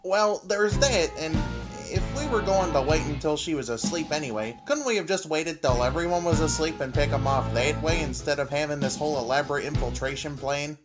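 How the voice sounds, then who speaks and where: A woman voices a tentacled monster lackey, low in pitch and reluctant. The minion sounds sheepish and put-upon, with loud music behind the parody drama. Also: a little fast, hardly any pauses, lightly acted. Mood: sheepish, hesitant. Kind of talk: parody anime audio drama.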